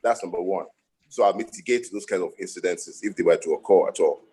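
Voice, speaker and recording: A man's voice: sits low, fast talker, mellow, breathy edge. A middle-aged man from Nigeria, a lawyer speaking on a panel and telling reflective stories with frequent restarts. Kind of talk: panel discussion.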